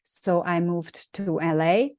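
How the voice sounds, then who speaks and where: A woman's voice: lowish voice, slow, darkish tone, no echo. A woman in her forties from Hungary with a Hungarian accent, telling her story as an interview guest in a thoughtful way with many ums and restarts. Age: 40s. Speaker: guest, actress and acting coach. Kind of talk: casual interview chat.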